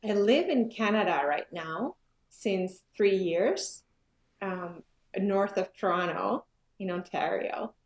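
A Finnish-born woman in her forties answers interview questions slowly and hesitantly, with plenty of fillers as she thinks aloud. Her voice sits at a middle pitch and carries the echo of a bare room. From Finland. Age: 40s. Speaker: guest, yoga business owner. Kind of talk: casual conversation. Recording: echoey room.

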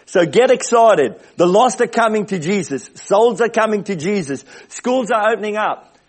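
A man with a big booming voice, preaching a sermon as a visiting speaker in a church, with emphatic repetition. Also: expressive, moderate pace, breathy edge. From Australia. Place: church service.

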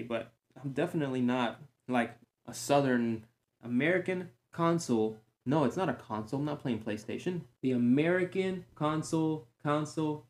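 A man hosting a fitness podcast, giving encouraging advice in a chatty way with joking tangents. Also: mid-range voice. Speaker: certified fitness trainer. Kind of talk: casual solo podcast talk. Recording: roomy echo.

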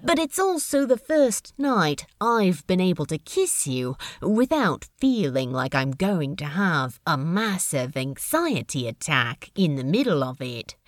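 A woman's voice: lowish voice, no echo, clean studio sound, full character voice. A woman voicing a smitten, wry male comedian with a teasing drawl, in a fan fiction audio drama. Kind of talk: fan fiction podfic character acting.

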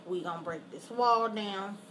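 A woman's voice: medium pitch, measured pace, a bit bright, poor audio. Woman cohost, teasing blunt jabs, mid voice, light hiss. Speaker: podcast co-host. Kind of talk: casual chat.

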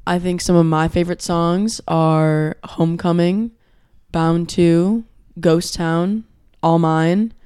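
A young man co-hosting a podcast, talking in a loose, rambling way with plenty of ums. His voice is a bit hoarse, yet it comes through smooth and clean. The close mic sits in a dry space with no room echo. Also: on the high side, steady tone, takes it slowly, few pauses, a little mellow. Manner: rambling, filler-heavy. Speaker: podcast co-host. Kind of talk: casual chat.

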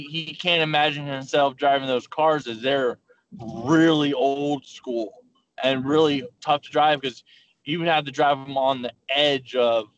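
A man co-hosting a casual podcast chat, telling stories in a rambling way with many restarts. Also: medium pitch. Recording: muffled lo-fi call audio.

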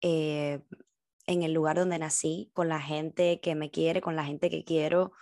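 A young adult woman from Cuba with a Cuban accent, hosting a solo podcast in a reflective, heartfelt way with thoughtful pauses. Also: low voice, level tone, a little mellow, no room sound, studio quality. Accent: Cuban Spanish. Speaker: podcast host.

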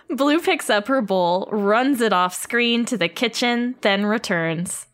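Casting: slightly high-voiced woman, unhurried podcast co-host who giggles and reads stage directions. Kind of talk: casual podcast chat and script narration.

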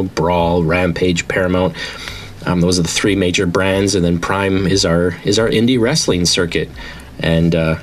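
A man in his late thirties, talking casually and enthusiastically as the founder of an online wrestling league, rambling with frequent restarts. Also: deep voice. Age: 30s. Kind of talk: casual monologue to an audience.

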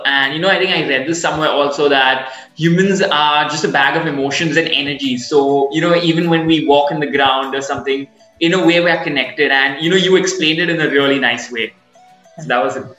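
A man's voice: on the high side, steady tone, brisk, pushed and bright, roomy sound, soft background music, poor audio. A man hosting a podcast in a conversational interview style. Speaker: podcast host.

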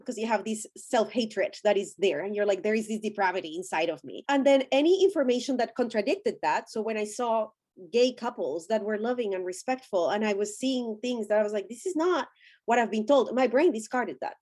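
A woman's voice: fairly high pitch, darkish tone, breathy edge. A woman from Colombia with a Colombian Spanish accent, a native Spanish speaker, talking earnestly as a podcast guest and explaining ideas with quoted inner voices. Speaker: podcast guest, ordained minister. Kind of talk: conversational interview.

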